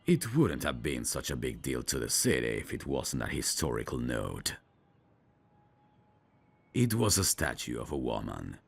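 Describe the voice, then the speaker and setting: A man playing a gruff, world-weary veteran police detective in an audio drama, with a husky, gravelly voice and a sardonic manner. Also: extremely low pitch, very animated, a little slow, full character voice. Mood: dry, matter-of-fact. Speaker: detective mentoring a rookie. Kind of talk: scripted roleplay audio drama.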